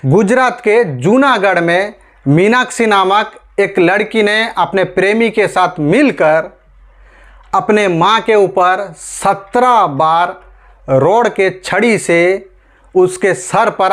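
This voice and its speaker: A man's voice: sits high, a bit bright. A man from India presenting online news commentary in an emphatic, rhetorical way, addressing his audience directly. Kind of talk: scripted news commentary to camera.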